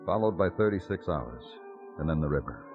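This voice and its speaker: A muffled vintage radio recording carries a world-weary detective, terse and brooding. The voice is deep, with strongly varied, theatrical noir phrasing. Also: male voice, big pitch swings, brisk, music in the background, full character voice. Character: weary, hard-boiled male police detective, brooding and curt. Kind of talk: 1950s noir radio drama acting.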